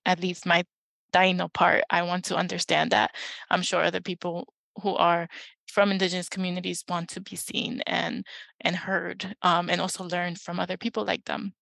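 A woman from New York speaking reflectively and personally as a library guest, pausing often. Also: on the low side, creaky, fairly bright tone, no room sound. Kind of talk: casual panel talk.

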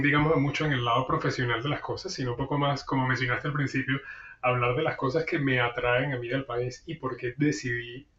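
A male interview guest with a bright voice, speaking thoughtfully and pausing often to search for words. He sounds far from the mic, in an echoey room, over muffled call audio. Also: mid-range voice, slight background noise. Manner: thoughtful, many fillers and restarts. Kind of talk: casual interview.

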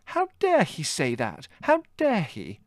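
A man's voice: a little high, very animated, moderate pace, no echo, studio quality, pitched above the performer's own voice. A man voicing a haughty young woman who speaks in an airy, arch, easily offended way. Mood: indignant.

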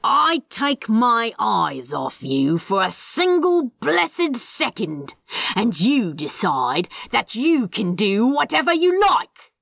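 A scornful male demon played by a woman delivers threats in an audiobook story. The words come slowly, with heavy, drawn-out emphasis and big swings in pitch. The sound is dry and close, keeping every bit of contempt exposed. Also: very animated, vocal fry, fairly bright tone, no room sound, full character voice. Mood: menacing. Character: menacing male demon scolding his houseplants. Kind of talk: fan fiction audiobook character voice.

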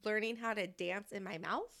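Woman, thirties, podcast host, medium pitch, casual conversation, expressive and informal delivery. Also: normal speed, creaky. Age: 30s.

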